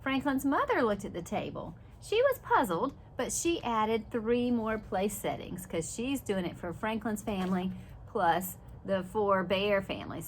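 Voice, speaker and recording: A woman reading a children's picture book aloud as a preschool teacher, animated, with asides and questions to young listeners. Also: mid-range voice, a little hiss or hum, poor audio.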